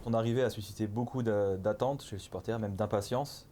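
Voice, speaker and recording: A man's voice: on the low side, steady tone, brisk, warm tone, a little hiss or hum. A man interviewing a new player for the club, leading with prepared questions and stumbling now and then. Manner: leads with set-up questions, occasional stumbles.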